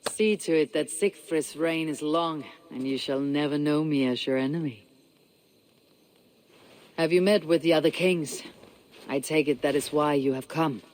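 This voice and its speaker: An actor playing a steady, resolute male Viking clan leader, speaking in measured, solemn tones in a game cutscene. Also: on the high side, natural voice. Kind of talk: video game cutscene acting.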